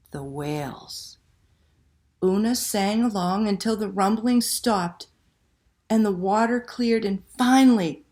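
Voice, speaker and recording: A woman telling a picture-book story to children in a dramatic, expressive read-aloud style. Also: medium pitch. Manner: dramatic, animated delivery with hushed passages and exclamations.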